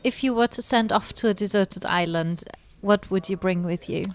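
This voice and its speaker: A woman's voice: medium pitch, normal speed. A woman interviewing a guest in a conversational podcast style.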